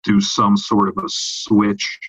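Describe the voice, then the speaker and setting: A man with a fairly low voice talks slowly and haltingly, often restarting his phrases. He sounds like a podcast co-host in casual discussion, recorded in a roomy space with a slightly dull sound. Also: very animated, creaky, fairly bright tone, slightly rough. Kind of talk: casual discussion of horror fiction.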